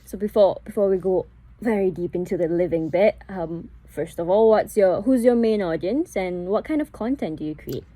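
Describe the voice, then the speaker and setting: A woman hosting a relaxed podcast conversation. Her voice is dark and mellow, and her tone is friendly and talkative, with laughter breaking through often. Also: medium pitch, moderate pace, poor audio. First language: English. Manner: chatty and warm, laughing often. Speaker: podcast host. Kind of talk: casual podcast interview.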